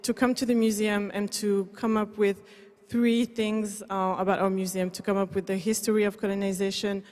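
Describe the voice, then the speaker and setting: A woman's voice: mid-range voice, darkish tone. A woman from Belgium who works in museum public services, speaking earnestly as a panelist. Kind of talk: panel discussion. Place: large museum event hall. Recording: reverberant public hall.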